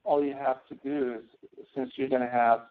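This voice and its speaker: A man teaching step by step as an instructor in a screen-share tutorial, halting and checking with questions. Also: medium pitch, expressive. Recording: tinny phone-like online meeting audio.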